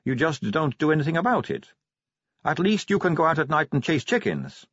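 A man's voice: on the high side, lively intonation, fairly quick, fairly bright tone, no room sound, highs cut off, pitched above the performer's own voice. A man voicing a teasing, self-assured female vampire police officer in an audiobook story. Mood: knowing, teasing. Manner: breezy, needling, coaxing.

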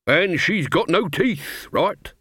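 A man's voice: sits high, lively intonation, bright, forceful tone, slightly rough, no echo, clean studio sound, voice pushed up, full character voice. A man doing a dim, burly woodcutter. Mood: gossipy. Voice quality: slow, blunt, tag-questions with "right?". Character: boastful burly male woodcutter, dim and gossipy.

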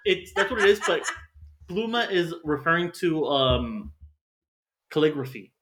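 A man's voice: fairly high pitch, expressive, fairly bright tone, a little hiss or hum. Emphatic, opinionated man at a dinner table. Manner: emphatic, quick to correct others. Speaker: guest. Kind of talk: casual group chat. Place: home dining room.

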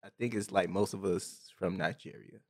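Low-voiced man in blunt banter. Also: fairly even intonation, moderate pace, creaky, darkish tone. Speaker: podcast co-host. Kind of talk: casual chat.

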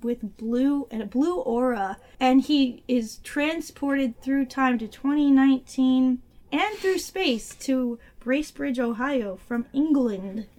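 A woman co-hosting a casual movie review podcast, her voice a bit hoarse as she gets over being sick, often laughing as she talks. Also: sits high, slow pace, darkish tone. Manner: giggly, laughs while summarizing. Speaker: podcast co-host.

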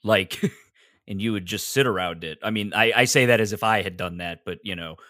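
Low-voiced man, casual podcast guest. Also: brisk, bright, forceful tone, no room sound, clean studio sound. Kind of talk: casual interview chat.

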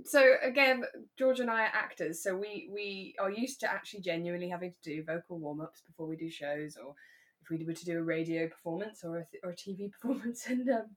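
A woman talking casually as a podcast co-host, recapping and giving her opinions. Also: medium pitch. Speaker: actor. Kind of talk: casual chat recapping a radio drama.

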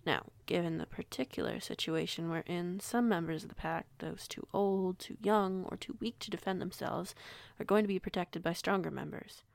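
A woman playing a young, kindly, protective werewolf pack alpha in an audio roleplay, measured and gently authoritative. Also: on the low side, creaky, no echo. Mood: calm, firm.

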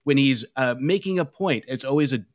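A man's voice: medium pitch, expressive, fairly quick, no room sound, studio quality. A man guesting on a podcast, talking through a film in a thoughtful, casual way.

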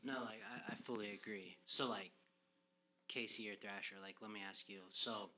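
A man's voice: lowish voice, fairly even intonation, normal speed, bright, forceful tone. A man hosting a podcast, talking earnestly in a loose, rambling way full of fillers. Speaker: podcast host. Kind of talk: casual conversation.

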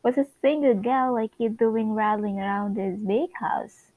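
Woman as nosy sitcom housewife, gushing, chatty, folksy, fairly high-pitched, dry close sound. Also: unhurried, no echo, narrow, tinny audio. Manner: gushing, folksy old-fashioned slang.

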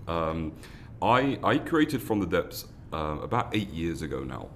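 Deep-voiced earnest man. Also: sing-song, unhurried, fairly bright tone, a little hiss or hum. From London, England. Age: 30s. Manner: earnest, many restarts and repeated words. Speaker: foundation founder, guest. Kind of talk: earnest interview answers.

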